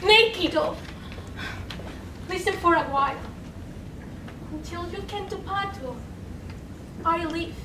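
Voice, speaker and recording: A young woman performing a dramatic poetry reading, slow and pleading with sudden loud swells, in a large room. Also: very high voice, recorded from a distance. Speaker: contest reader. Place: large college event room. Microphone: far camera, standing away from mic. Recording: echoey room recorded from afar, noisy.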